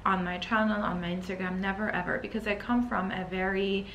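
A woman's voice: medium pitch, steady tone, fairly quick, fairly bright tone, dull audio. A woman in her thirties who grew up in Iran, speaking to camera as a lifestyle vlogger in an earnest, hesitant, emotional way. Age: 30s. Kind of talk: earnest personal address to camera. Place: indoors, living and dining room at home. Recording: roomy echo, faint background noise.

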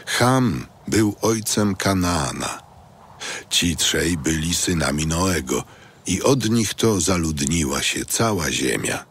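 Very deep, slow male narrator. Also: expressive, a bit bright, a little hiss or hum. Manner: measured, grave storytelling. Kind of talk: solemn scripted Bible narration.

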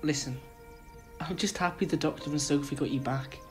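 A woman's voice: low-pitched, a little fast, over music, close to an everyday voice. A woman playing an earnest, protective friend in an audio drama.

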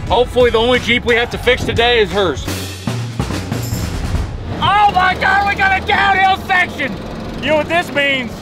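Young man, American accent, high-pitched, casual jokey vlog chat, outdoors on the road, loud music. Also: expressive, normal speed, long pauses. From Kansas, United States. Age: young adult. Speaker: vlog host. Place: open-top jeep and roadside, outdoors. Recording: loud music behind outdoor car audio.

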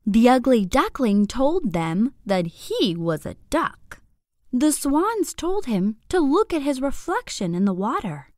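A woman narrating a scripted bedtime story for young children in an expressive, deliberate, clearly phrased way. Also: sits high, dry recording, clean studio sound.

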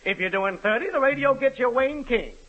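An actor playing a fast-talking car salesman with brash sales patter in a 1930s radio comedy. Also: very high voice, expressive. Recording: dull noisy 1930s radio broadcast.

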